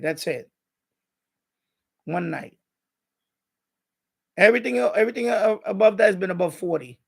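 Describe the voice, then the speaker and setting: A man's voice: high-pitched, creaky. An older man hosting a live stream with casual, rambling chat, often hesitating and repeating himself.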